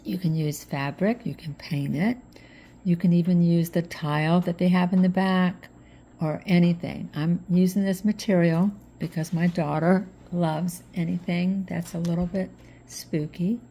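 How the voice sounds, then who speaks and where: A middle-aged woman with a slightly hoarse voice from allergies, chatting relaxed step by step through a craft tutorial as host. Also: on the low side, unhurried. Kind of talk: casual craft tutorial narration.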